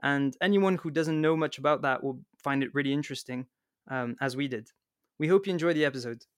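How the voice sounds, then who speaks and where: A man's voice: medium pitch, a little fast, no echo, clean studio sound. A man hosting a podcast, introducing the episode in a friendly, slightly hesitant way. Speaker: podcast host.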